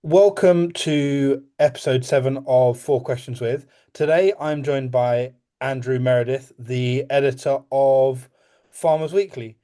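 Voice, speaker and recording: Flat, mellow-voiced man hosting an interview. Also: mid-range voice, a little slow. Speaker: podcast host.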